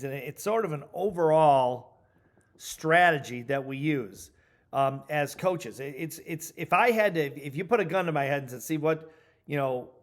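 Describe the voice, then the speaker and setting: A middle-aged man giving an instructional training talk as a coaching trainer, speaking emphatically and deliberately and repeating key points for stress. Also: fairly high pitch.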